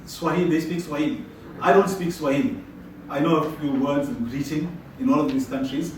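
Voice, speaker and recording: A man's voice: on the high side, brisk, a little mellow, a little hiss or hum. A man from South Africa giving a conference presentation in a conversational way. He often restarts and repeats words. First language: Tamil. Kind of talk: presentation with audience questions. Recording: distant mic in echoey conference room.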